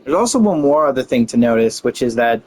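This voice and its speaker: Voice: mid-range voice, animated, fast talker, a little mellow. A game streamer giving casual commentary during a playthrough.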